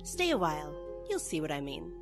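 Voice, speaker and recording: A woman's voice: low voice, huge pitch swings, a little fast, creaky, exaggerated voice. A woman playing a poised, welcoming travelling bard from overseas, speaking theatrically in a fantasy audio drama. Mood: inviting. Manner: inviting, theatrical, knowing. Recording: loud music under the voice.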